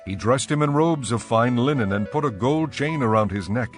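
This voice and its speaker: A man reads scripture as a narrator with a measured, formal delivery. His pitch is average, and faint music plays behind the voice. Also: animated.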